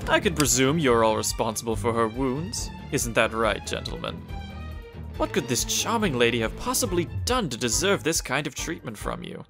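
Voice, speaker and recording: An actor playing a suave, courteous gentleman hunter in a comic dub, speaking in a genteel, theatrical way with a calm menace. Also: mid-range voice, normal speed, gravelly, over music. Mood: coolly menacing. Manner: genteel, theatrical, old-fashioned endearments.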